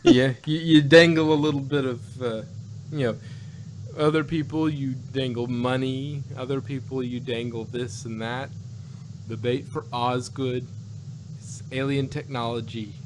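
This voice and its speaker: A man in a casual review discussion, speaking in a halting, rambling way as a co-host. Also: medium pitch, fairly even intonation. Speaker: podcast co-host reviewing audio dramas. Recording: clearly noisy, humming background.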